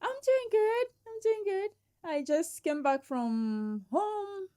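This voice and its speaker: A woman's voice: very high voice, takes it slowly. A middle-aged woman from Kenya, a guest in a casual interview, telling stories with frequent laughter. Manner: laughs often, animated storytelling.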